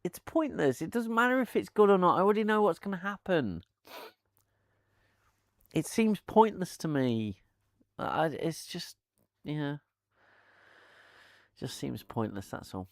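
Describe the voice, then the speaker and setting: A man's voice: high-pitched, very animated, unhurried, gravelly, long pauses, no room sound, clean studio sound. A man hosting a casual live-stream chat, rambling and laughing with many restarts, on a lavalier mic at home. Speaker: channel host.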